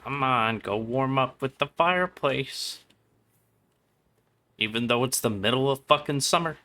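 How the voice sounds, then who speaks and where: A man's voice: mid-range voice, a little slow, sharp tone. A man doing casual live gameplay commentary as a streamer, muttering to himself and his chat in slangy, sweary language. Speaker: game livestreamer.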